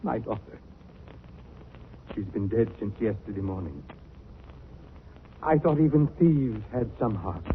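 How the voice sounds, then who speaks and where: An actor playing a middle-aged, bitter, grieving shopkeeper father with emotional, halting delivery in a 1940s radio drama. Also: lowish voice, close to an everyday voice. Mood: grief-stricken. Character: grieving, bitter father, a curiosity shop dealer. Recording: tinny, noisy 1940s radio recording.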